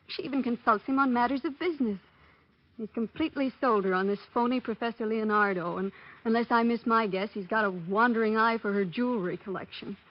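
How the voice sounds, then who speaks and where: Woman acting a poised, higher-voiced young society lady. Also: a little fast, natural voice. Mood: concerned, businesslike. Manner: polite, composed, refined. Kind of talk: golden-age radio acting. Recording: muffled old radio recording.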